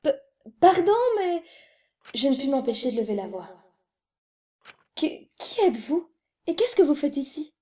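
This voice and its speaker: A woman playing an earnest, easily frightened high school girl in an amateur video game dub. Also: big pitch swings, unhurried, long pauses, mellow. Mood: alarmed.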